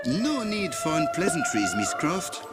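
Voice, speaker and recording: An actor playing a suave, easily exasperated French villain in a video game, formal and theatrical, with a French accent. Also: male voice, high voice, wildly swooping pitch, a little slow, full character voice. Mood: smug, courteous. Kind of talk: video game cut-scene voice acting. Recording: music behind the voice.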